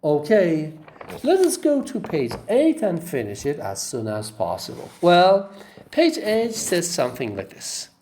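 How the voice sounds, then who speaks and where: A man's voice: a little high, huge pitch swings, a little slow, darkish tone, slight background noise. A male lecturer taking students through a course text, repeating key phrases and checking in with "okay?". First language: not Arabic. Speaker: linguistics lecturer.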